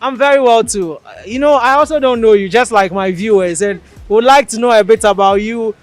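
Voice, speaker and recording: A man's voice: sits high, a little fast, dry recording. A man hosting an interview show, upbeat and promotional, asking follow-up questions. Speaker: host.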